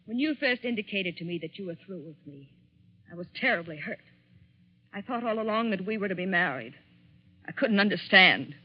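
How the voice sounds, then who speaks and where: A woman's voice: mid-range voice, lively intonation, brisk, husky. An actress playing a bitter jilted woman, coolly vengeful, wounded and accusing, in 1940s radio suspense style. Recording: dull lo-fi 1940s radio broadcast.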